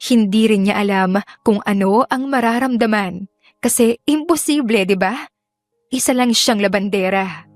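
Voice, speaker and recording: A woman's voice: medium pitch, no room sound. A woman narrator reading scripted romantic fiction as dramatic storytelling. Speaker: audiobook narrator.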